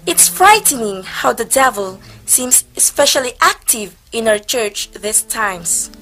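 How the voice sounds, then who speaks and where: A woman's voice: on the high side, very animated, measured pace, a bit bright, faint music behind. A woman playing a haughty, suspicious nun in a stage play, with scornful and emphatic delivery. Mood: suspicious, disapproving. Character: haughty, suspicious nun sneering at Teresa's arrogance. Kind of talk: amateur stage play acting.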